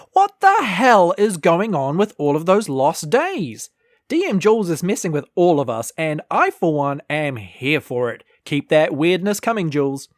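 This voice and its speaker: Lively, dry-recorded podcast announcer. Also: medium pitch, no room sound. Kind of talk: scripted podcast outro narration.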